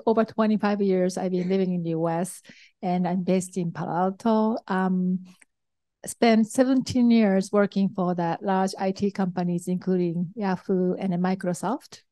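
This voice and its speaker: A woman's voice: mid-range voice, steady tone, measured pace, dark tone. A middle-aged woman with a Japanese accent, presenting to a conference audience as an investor, hesitant with frequent ums and restarts. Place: conference hall.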